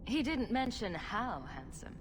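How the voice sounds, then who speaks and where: Wry, sarcastic rogue heroine. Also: female voice, a little high, very animated, a little slow. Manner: dry, sarcastic. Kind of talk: video game character acting. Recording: clear background ambient noise.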